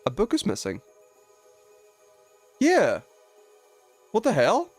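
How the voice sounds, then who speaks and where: A man's voice: high-pitched, huge pitch swings, slow, faint music behind. A man live streaming a video game with casual, slangy commentary full of swearing.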